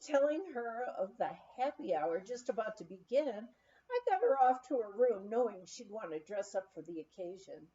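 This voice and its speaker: A woman's voice: medium pitch, big pitch swings, moderate pace, dark tone, dull audio. A woman reading a memoir aloud as scripted narration, in a storytelling manner, like an amateur audiobook reader.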